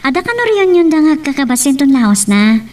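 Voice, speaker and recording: A woman's voice: high voice, very animated, a little fast, darkish tone, distant mic. A woman playing an earnest, friendly single mother talking in an Ilocano drama, with an Ilocano accent. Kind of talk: Ilocano radio-style serial drama acting.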